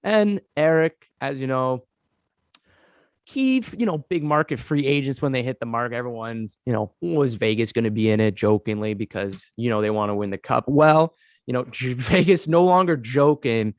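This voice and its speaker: A man's voice: mid-range voice, moderate pace, clean tone, no echo. A man hosting a casual sports podcast, congested from a cold, rambling with lots of fillers as he talks to his co-host. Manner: rambling, many "uh" fillers, keeps addressing co-host.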